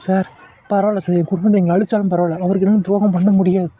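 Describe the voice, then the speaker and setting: A man's voice: high voice, flat delivery, very fast, dark tone, slightly rough, highs cut off, pitched below the performer's own voice. A man voicing an honest junior doctor who pleads earnestly and deferentially. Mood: pleading. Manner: earnest, pleading, keeps saying sir.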